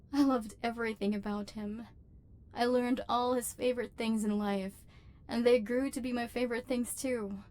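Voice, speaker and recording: A woman's voice: fairly high pitch, a little mellow. A woman voicing an embittered, lonely robot overlord in an ASMR roleplay, with formal, precise diction and hurt tenderness underneath. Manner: formal, precise, stilted diction with wounded feeling. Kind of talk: scripted ASMR roleplay acting.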